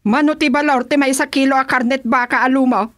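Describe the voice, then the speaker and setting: Woman acting as a doubting Ilocano wife, high bright voice, teasing questions. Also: steady tone, fairly quick. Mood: skeptical. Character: nagging, skeptical wife of a councilman. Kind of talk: Ilocano radio drama comedy.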